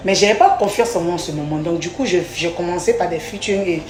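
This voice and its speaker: A woman's voice: on the low side, fast, darkish tone, roomy sound, a little hiss or hum. A woman, a singer talking casually about herself in an interview. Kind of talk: casual interview, personal storytelling.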